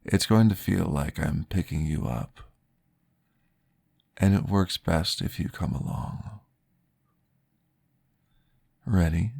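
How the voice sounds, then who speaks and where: A man playing a calm, courteous vampire therapist in an ASMR roleplay, guiding gently and formally in a breathy, gravelly voice. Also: low-pitched, exaggerated voice. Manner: slow, gentle, formal, soothing guidance.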